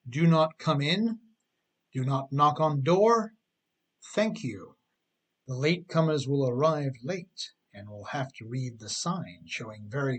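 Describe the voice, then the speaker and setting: A man's voice: medium pitch, husky. A middle-aged man reading his own humorous advice book as scripted narration, with an emphatic, tongue-in-cheek delivery.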